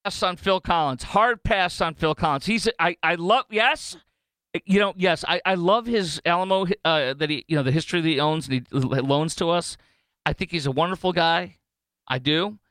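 A man hosting talk radio from a studio, jumping into high, mocking tones and tripping over his words. His voice is smooth and clear, recorded dry and close. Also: moderate pace, no echo. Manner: animated and sarcastic, full of fillers and restarts. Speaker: fill-in radio talk show host. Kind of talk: casual talk radio commentary.

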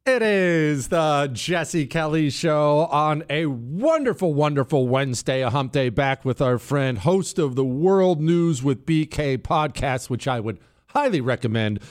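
A man hosting a talk radio show, lively and teasing with his guest. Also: a little high, dry recording, studio quality.

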